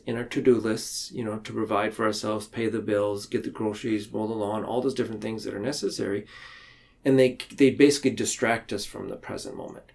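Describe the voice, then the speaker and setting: A man talking reflectively and explaining ideas in a podcast discussion, haltingly, often circling back to rephrase. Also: on the low side, vocal fry, darkish tone.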